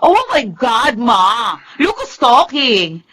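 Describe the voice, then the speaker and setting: An actress playing a bitterly defiant, anguished young daughter in a melodramatic radio drama, sarcastic and tearful, slipping into English. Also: female voice, on the high side, very animated, slow pace, bright, forceful tone, full character voice. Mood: bitter sarcasm.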